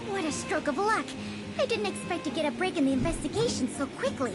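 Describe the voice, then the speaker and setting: High-pitched, eager young female investigator. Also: animated, a little fast. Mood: excited. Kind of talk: video game character dialogue. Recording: music behind the voice. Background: game background music.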